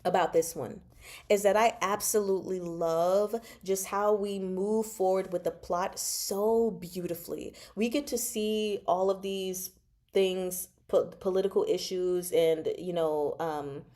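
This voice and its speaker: A woman with a medium-pitched voice hosts an informal book chat. She speaks slowly and takes her time between phrases. Her delivery is expressive and conversational, as if talking things over with friends. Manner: giggly, expressive, chatty. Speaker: book discussion vlog host. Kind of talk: casual live book chat.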